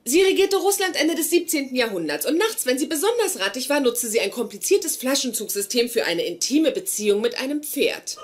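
An actress playing a blunt, socially awkward neurobiologist with formal, academic phrasing in a dubbed sitcom. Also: female voice, sits high, big pitch swings, a little fast, hardly any pauses. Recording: noisy sitcom soundtrack.

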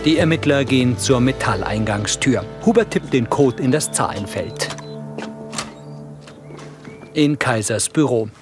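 Guy narrating scenes for a tv audio drama, medium pitch, music clearly behind. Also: lively intonation, unhurried, pauses often. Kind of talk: scripted audio description narration.

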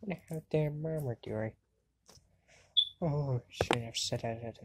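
A woman voicing an excitable, sarcastic anime girl in an amateur cartoon fandub. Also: very deep voice, slow, very noisy. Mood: subdued, musing.

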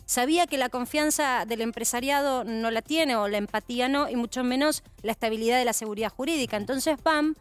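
A woman's voice: on the high side, a little fast, few pauses, clear, resonant tone, faint music behind. A woman in her thirties with an Argentine accent, hosting a studio news panel and explaining with rhetorical questions. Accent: Argentine Spanish. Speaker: news host.

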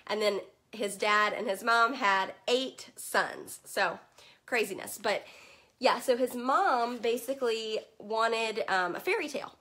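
A woman's voice: on the high side, a bit bright. A young woman from Virginia who is losing her voice to allergies, chatting upbeat and casual, with many "um"s, to a live group from a room at home in front of bookshelves. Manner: chatty and upbeat, many "um"s, greets viewers by name. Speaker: children's book consultant and host. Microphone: webcam at desk distance.

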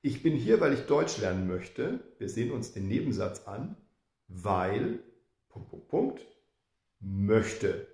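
Teacher, male, 50s; gravelly voice, unhurried, talking straight to camera. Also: lowish voice, very animated, darkish tone, roomy sound. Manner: slow, deliberate explaining with repeated example sentences. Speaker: German teacher. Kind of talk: grammar lesson to camera. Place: indoor room with plants behind. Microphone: camera in front, head and shoulders.

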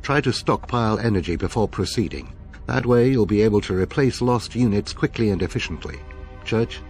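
An actor playing a calm, methodical military commander, giving a measured, authoritative game mission briefing. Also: male voice, on the low side, faint music behind. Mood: calm, instructive.

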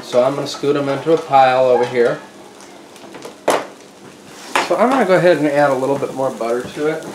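A man casually narrating his meal prep at home, explaining each step as he cooks at the stove. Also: mid-range voice. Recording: echoey room with clear kitchen noise. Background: sizzling pan and stirring.

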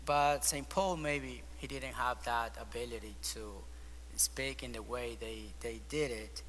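A priest whose first language is Spanish, speaking English with a Spanish accent. He gives a homily at the altar of a large church and often pauses to search for words. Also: medium pitch, fairly even intonation, a bit bright. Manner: hesitant, searching for words with frequent ums. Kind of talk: weekday homily. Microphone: far camera. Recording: long church reverb, faint hum.

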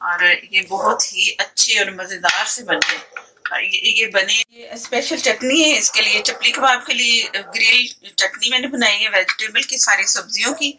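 A woman's voice: a little high, normal speed, noisy background. A woman gives a casual, chatty cooking demonstration in her home kitchen. She explains each step as she works and often restarts her sentences. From Pakistan. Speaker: cooking channel host. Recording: clear kitchen clatter behind. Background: pots, pans and clicks.

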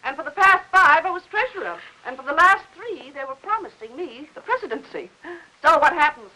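High-pitched, fussy, chattering aunt. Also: female voice, lively intonation, brisk, bright, forceful tone, poor audio. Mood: indignant, chatty. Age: middle-aged. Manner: talkative, fussing, gossipy. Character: chatty, fussy, well-meaning middle-aged aunt. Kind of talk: 1950s Hollywood melodrama film acting. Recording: old 1950s film soundtrack.

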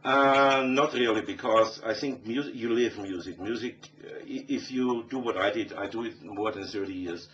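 Deep austrian guy, german accent, chatting in an interview, muffled audio with a bit of noise. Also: normal speed, few pauses, a bit bright. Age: middle-aged. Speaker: interviewed rock musician. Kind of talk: conversational interview answers. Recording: dull, distant, faintly noisy interview audio.

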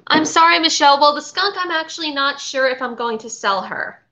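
A woman's voice: high-pitched, bright, forceful tone. A middle-aged woman from Florida hosting a casual live stream from her home kitchen, rambling and reading out viewer comments. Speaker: vintage seller hosting a live haul. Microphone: webcam at desk. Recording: slightly dull lo-fi webcam audio.

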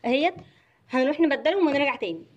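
A woman's voice: high-pitched, animated, very fast, lo-fi sound. A woman with an Egyptian accent casually narrating an unboxing for children. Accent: Egyptian Arabic. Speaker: host of a children's toy channel.

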